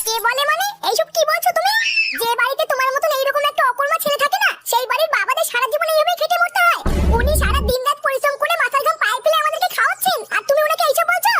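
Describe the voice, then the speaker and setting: A performer voicing a protective, sharp-tongued village daughter-in-law in a comedy cartoon, with loud noise behind. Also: very high-pitched, very fast, loud music behind the voice. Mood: indignant, scolding. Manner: respectful to elder, sharp-tongued scolding.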